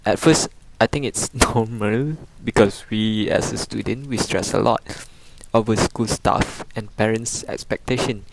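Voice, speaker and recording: A resigned young male patient, acted by a man, confesses in an amateur radio drama. His voice is lowish and creaky, and he speaks slowly and haltingly. Slight noise sits on the recording.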